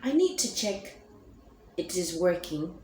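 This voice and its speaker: A woman voicing a small, timid young male mouse who speaks in a high, eager voice. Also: sing-song, slow, darkish tone, roomy sound, slight background noise. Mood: earnest.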